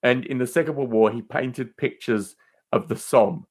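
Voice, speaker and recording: A man's voice: medium pitch, moderate pace, a little mellow. Man in rambling, emphatic podcast banter.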